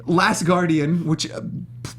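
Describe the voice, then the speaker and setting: Man, high voice, rambling, emphatic, jokey, casual talk-show host. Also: steady tone, measured pace, fairly bright tone, slight background noise. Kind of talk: casual panel chat about games and film.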